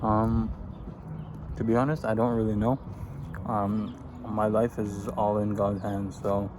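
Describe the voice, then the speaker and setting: 20-something guy from afghanistan, low even voice, easygoing rambling chat, noisy outside with birds. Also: unhurried. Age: 20s. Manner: easygoing, answers questions in a loose, rambling way. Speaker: vlogger. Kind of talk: casual get-to-know-me chat while eating. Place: outdoors. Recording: outdoor recording with background noise and birds.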